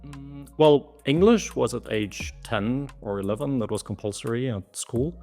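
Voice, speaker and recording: Guest: man, mid-pitched, patient explainer, faint music behind. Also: expressive, a little slow, a little mellow. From southeast Germany. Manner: thoughtful, explanatory, lightly amused. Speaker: guest, language course author. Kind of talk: conversational interview answers.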